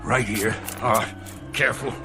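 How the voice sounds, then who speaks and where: A man's voice: mid-range voice, fairly even intonation, measured pace, gravelly, music in the background. An actor playing a loyal, anxious cornerman in a radio drama, fussing and pleading with care. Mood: worried. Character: worried, loyal boxing cornerman, anxious and caring.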